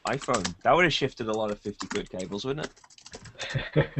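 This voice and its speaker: A man's voice: on the low side, expressive, normal speed, background noise. A man hosting a casual tech podcast, with a wry, lightly sarcastic way of talking. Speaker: podcast host.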